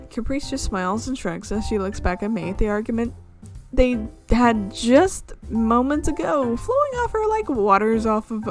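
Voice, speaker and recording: A woman streamer reading a visual novel aloud in an expressive storytelling way, with asides. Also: a little high. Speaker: video game streamer. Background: game music.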